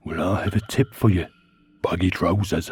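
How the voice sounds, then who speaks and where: A man voicing a chatty, insistent oddball worm-like butler in a radio sitcom, with a raspy British voice. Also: deep voice, steady tone, normal speed, darkish tone. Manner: chatty, insistent, over-familiar. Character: talking worm and shambling butler, pestering oddball. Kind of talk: comedy radio sitcom acting.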